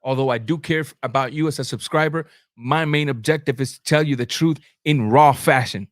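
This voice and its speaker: Emphatic, higher-pitched male boxing host. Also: no echo, studio quality. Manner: emphatic, repeats phrases for effect; blunt, informal street-slang address. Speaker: host of a boxing live stream. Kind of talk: live sports commentary, answering chat comments.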